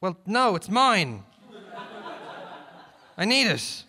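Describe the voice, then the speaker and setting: A man's voice: high-pitched, big pitch swings, very slow, sharp tone, voice pushed up, exaggerated voice. A man playing a weary, earnest police negotiator in a comic short story, pleading and calling out across a distance. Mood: stubborn.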